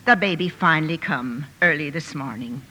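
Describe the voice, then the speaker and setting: An actress playing a plain-spoken family housemaid in a period drama, folksy and deferential. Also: female voice, deep voice, moderate pace, pushed and bright, slight background noise. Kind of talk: period radio drama acting.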